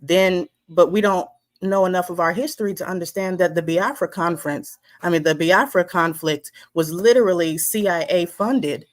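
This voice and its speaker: A woman's voice: on the low side, moderate pace, few pauses. A woman speaking as a guest in a passionate way, now and then mocking the voices of others. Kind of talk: passionate talk.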